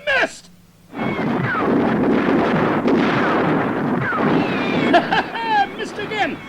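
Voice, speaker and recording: A man playing an excitable, bumbling, boastful commentator and cowboy hero in madcap radio comedy. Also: extremely high pitch, drawn-out words, very noisy, full character voice. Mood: triumphant bravado. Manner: theatrical, exclaiming, flustered.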